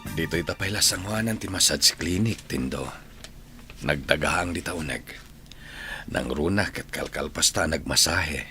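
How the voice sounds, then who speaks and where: A man's voice: extremely low pitch, unhurried, pushed and bright, slight background noise, exaggerated voice. A man with a Filipino accent playing a devout older man in love in an audio drama. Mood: casual.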